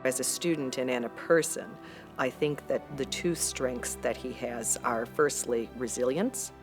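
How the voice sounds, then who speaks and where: A woman's voice: very deep voice, a little slow, music in the background. A woman from the university introducing a student and speaking admiringly of him in a documentary. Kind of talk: documentary interview and event introduction.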